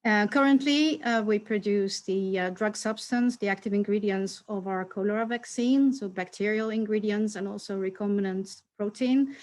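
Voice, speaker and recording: Measured woman executive presenting. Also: mid-range voice. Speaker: company executive. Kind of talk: webinar presentation.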